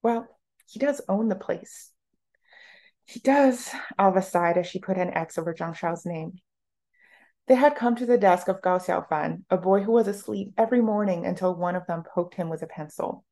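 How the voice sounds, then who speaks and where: A woman's voice: fairly low pitch, a little fast, dark tone. A woman author reading literary prose aloud from her own novel in a narrative style.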